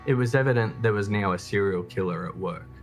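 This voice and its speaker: A man with a very low voice narrates a true crime documentary. His delivery is scripted storytelling, with soft guitar music behind him. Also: fairly quick.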